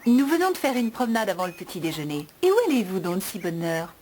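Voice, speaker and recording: A woman's voice: medium pitch, very animated, quick pace, darkish tone, close to an everyday voice. A woman voicing a friendly, trusting young farm woman in a cartoon, cheerful and dreamy in manner. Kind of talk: French cartoon dub acting.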